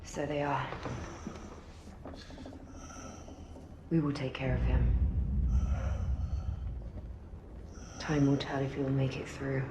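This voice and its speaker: A woman playing a composed, commanding Victorian noblewoman in a dramatic video game, with measured, formal, authoritative speech. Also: a bass voice, little pitch movement, noisy background. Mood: grave, calm.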